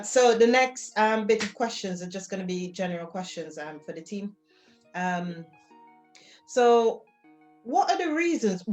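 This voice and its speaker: A woman interviewing a group, asking questions with many fillers. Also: mid-range voice.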